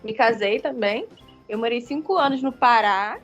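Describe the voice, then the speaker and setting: A woman's voice: fairly high pitch, moderate pace, faint music behind. Chatty Carioca woman, dull lo-fi sound. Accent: Carioca Brazilian Portuguese. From Rio de Janeiro, Brazil. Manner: rambling, many fillers and self-corrections. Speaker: guest writer. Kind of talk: casual interview chat.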